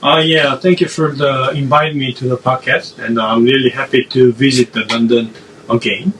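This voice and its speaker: A man from South Korea with a Korean accent, a podcast guest answering in a halting way and often restarting his phrases. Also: medium pitch, level tone, a bit bright, distant mic, a little hiss or hum, rough recording. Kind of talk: casual interview answers.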